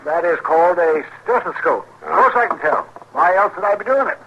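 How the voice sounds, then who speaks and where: Crusty, animated frontier doctor. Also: male voice, on the high side, a little fast, highs cut off. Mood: indignant. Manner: testy, animated. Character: crusty, irascible frontier doctor, fussy and sardonic. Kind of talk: old-time radio western acting. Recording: noisy old radio recording.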